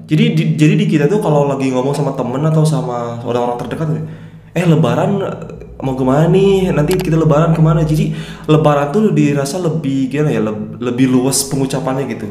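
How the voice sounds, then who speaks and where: A man's voice: mid-range voice, fairly quick, warm tone, roomy sound. A young man with a stuffy, nasal voice from a cold, hosting a casual reaction video in a chatty, upbeat way. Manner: chatty, upbeat, says "guys" often.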